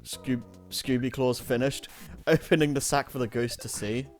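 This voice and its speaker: A man's voice: mid-range voice, fairly even intonation, normal speed, faint music behind. A man reading storybook narration with casual asides, often stumbling and correcting himself. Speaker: narrator of a streamed fan-fiction reading.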